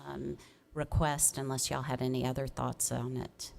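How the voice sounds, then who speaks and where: A woman speaks as a staff member at a public meeting. She explains things informally and accommodatingly, pausing to find her words. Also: rumbling low, little pitch movement, creaky. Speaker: city staff presenting cases to a review commission.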